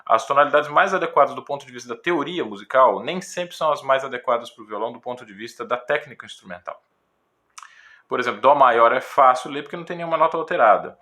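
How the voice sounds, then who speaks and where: A man with a Brazilian Portuguese accent, a guitar teacher giving a casual, thoughtful talk with frequent pauses and fillers. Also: medium pitch, animated, pushed and bright. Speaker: guitar teacher reviewing a book. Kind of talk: casual spoken commentary.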